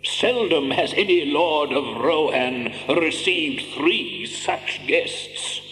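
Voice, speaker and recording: A commanding old wizard in an audio drama. His fairly high voice declaims slowly and gravely, with stern dignity. It rings through a reverberant hall. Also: lively intonation, a bit bright. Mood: dignified reproach. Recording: echoing hall with faint hiss and hum.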